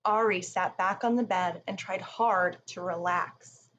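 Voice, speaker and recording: A woman's voice: fairly low pitch, fairly even intonation, unhurried, a bit bright. A woman reading a rhyming children's picture book aloud as narrator. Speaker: storybook reader. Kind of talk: children's story read-aloud. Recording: muffled with faint background noise.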